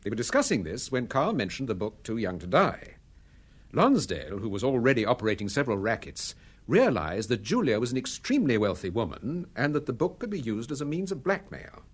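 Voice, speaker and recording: An actor playing a calm, authoritative amateur detective in a radio drama, assured and precise as he explains. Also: medium pitch, fairly quick, slightly rough. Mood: measured, explaining.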